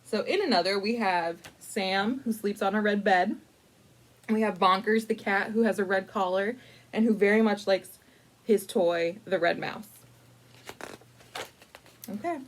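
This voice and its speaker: A woman hosting a casual storytime, chatty and informal, with playful, dramatic emphasis and drawn-out words as she tells a picture-book story. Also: medium pitch. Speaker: librarian storytime host.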